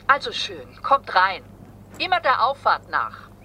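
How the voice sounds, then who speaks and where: An actress playing a guarded woman who answers visitors briskly at her gate in an audio drama. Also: a little high, huge pitch swings, bright, forceful tone, slight background noise, heavily acted.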